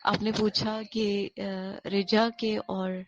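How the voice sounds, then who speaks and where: A woman answers interview questions in a middle-pitched voice. She feels her way through long explanations, and the lo-fi recording dulls her sound. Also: level tone, takes it slowly, slight background noise. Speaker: audio drama voice actor being interviewed. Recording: dull lo-fi audio.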